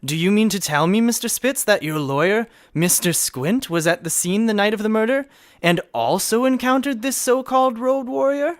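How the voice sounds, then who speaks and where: A man's voice: high-pitched, normal speed, dry recording, studio quality, lightly acted. An actor playing an earnest, exasperated prosecutor in a scripted comedy, formal and questioning as the straight man of the courtroom. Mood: incredulous.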